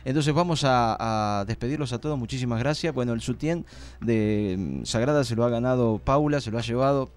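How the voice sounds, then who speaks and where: A man's voice: mid-range voice, a little fast, a little mellow. Chatty Argentine man hosting radio. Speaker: radio show host. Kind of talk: casual live radio chat.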